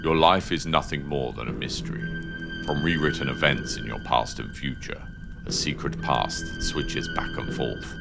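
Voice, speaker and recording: Sinister resurrected cult lord: rock-bottom pitch, heavy rasp, commanding and ominous, audio drama with music underneath. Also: male voice, wildly swooping pitch, full character voice. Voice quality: gravelly. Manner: slow, ominous, grandiose. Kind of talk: audio drama villain acting.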